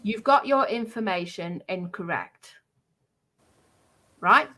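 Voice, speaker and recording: A woman's voice: medium pitch, animated, slow, bright, forceful tone. A woman in an aggrieved, emphatic livestream talk, often repeating herself to press her point.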